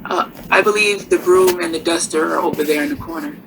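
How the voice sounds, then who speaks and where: An actress playing a poised, worldly nightclub singer in a radio drama, with theatrical, wryly warm delivery. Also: female voice, on the low side, gravelly, noisy background. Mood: gentle. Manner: theatrical, unhurried, uses endearments like darling and honey.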